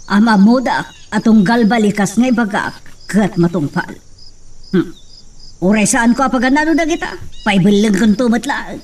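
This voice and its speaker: A woman's voice: a little high, lively intonation, moderate pace, a little mellow. A woman playing a stern, imperious middle-aged hacienda matriarch in a radio drama, with an Ilocano accent. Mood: cold, commanding. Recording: distant microphone sound.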